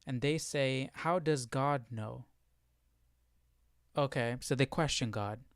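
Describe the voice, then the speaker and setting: Man thinking aloud, clear dry voice. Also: medium pitch, takes it slowly, no room sound. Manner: questioning, explanatory, often checks with "right?". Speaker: livestream Bible study host. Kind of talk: casual live Bible commentary, thinking aloud.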